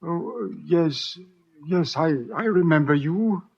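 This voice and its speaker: An actor playing a frightened, drugged villain in an old radio drama, groggy, halting and stammering. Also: male voice, on the high side, vocal fry, darkish tone, breathy edge, heavily acted. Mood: groggy, confused. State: groggy from drugs, as acted. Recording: muffled old radio recording.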